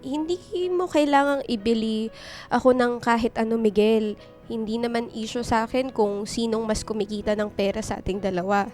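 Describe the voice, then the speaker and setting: An actress playing an affectionate, reassuring young girlfriend in a radio drama, with a sweet, expressive delivery. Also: a little high, moderate pace, close to an everyday voice. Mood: reassuring, tender. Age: young adult. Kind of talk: Filipino radio drama dialogue. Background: background music.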